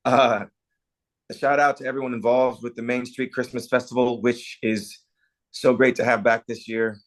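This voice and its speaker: Man as a halting, bashful small-town carpenter. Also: mid-range voice, level tone, close to an everyday voice. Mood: grateful, flustered. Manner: halting, hesitant. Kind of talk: naturalistic table read acting.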